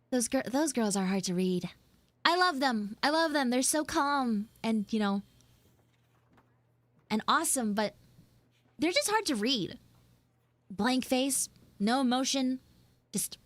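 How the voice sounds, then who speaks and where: An expressive tsundere frog girl, acted by a woman in a live audio roleplay. She talks high and emphatically with a bossy, animated edge. The sound is dry. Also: no room sound. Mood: bossy, emphatic.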